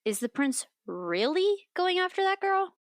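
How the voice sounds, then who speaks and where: An actress playing an outspoken, playful young woman in a fantasy audio drama, with a teasing, expressive, informal manner. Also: very high-pitched, measured pace, dry recording, clean studio sound, heavily acted. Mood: curious, gossipy.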